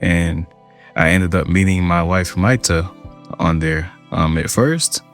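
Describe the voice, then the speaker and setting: A man speaks in a low, deep voice. He talks earnestly and heartfelt, repeating phrases for emphasis. Soft music plays under him. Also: slow pace. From Georgia, USA. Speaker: interviewee. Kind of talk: interview, personal story. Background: background music.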